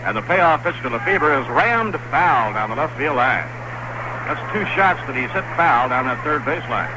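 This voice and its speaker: A man calling baseball play-by-play on the radio in the 1960s, brisk and easygoing. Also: mid-range voice, sing-song. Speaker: play-by-play announcer. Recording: noisy vintage radio broadcast.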